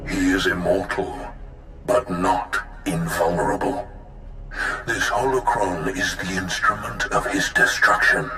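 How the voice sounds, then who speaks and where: Casting: imprisoned ancient Sith spirit, very low and measured, imperious, bitter, grandiose game performance. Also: male voice. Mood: commanding. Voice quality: deep, forceful. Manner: grandiose, slow, deliberate. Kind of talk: dramatic video game acting. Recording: echoing, with music underneath.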